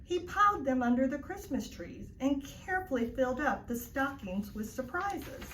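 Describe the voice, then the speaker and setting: A woman's voice: high-pitched, very animated, normal speed, a bit bright. A woman reading a children's story aloud in a narrating style. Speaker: storybook reader. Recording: echoey, distant mic, faint noise.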